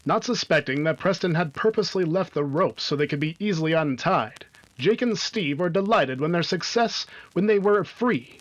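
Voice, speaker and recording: A man's voice: fairly high pitch, lively intonation, moderate pace, muffled recording. A man reading scripted narration for an old-time radio adventure drama.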